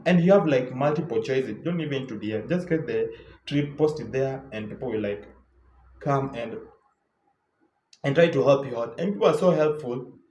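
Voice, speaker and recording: A man's voice: mid-range voice, expressive, normal speed, a little mellow, dull audio, a little hiss or hum. Chatty Kenyan man, echoey room. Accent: Kenyan English. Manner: loose and chatty, lots of repeats and fillers. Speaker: travel vlogger. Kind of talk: casual travel advice talk.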